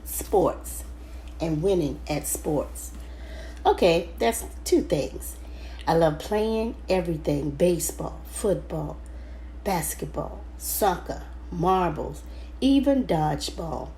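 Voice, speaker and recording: A woman reading a children's book aloud in first person, halting now and then over the words. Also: fairly low pitch, animated, dark tone, a little hiss or hum, low-quality recording. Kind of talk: storybook read-aloud narration, first person.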